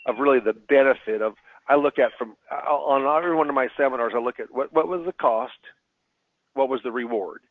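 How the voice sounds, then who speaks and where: A man's voice: mid-range voice, dry recording. A man answers webinar questions as a financial advisor. He stumbles and repeats words as he talks. His voice comes through a tinny phone line with a dry sound. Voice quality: halting, repeats words often.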